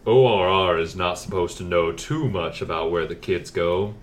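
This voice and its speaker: A man playing a solemn expert in a forced low voice for a scripted comedy explainer. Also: moderate pace, lightly acted.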